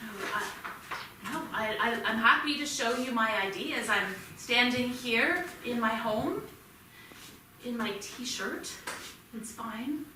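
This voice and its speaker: A woman's voice: a little high, slow pace. An actress playing a protective, indignant mother in a staged play reading, flustered and defensive. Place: theatre stage, indoors. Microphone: distant room microphone. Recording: very echoey theatre, distant mic, noisy.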